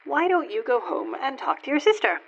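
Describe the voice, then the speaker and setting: A woman's voice: high voice, big pitch swings, fairly quick, muffled recording, background noise. An actress playing an evasive, secretive woman in a comic radio play. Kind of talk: comedic radio play acting.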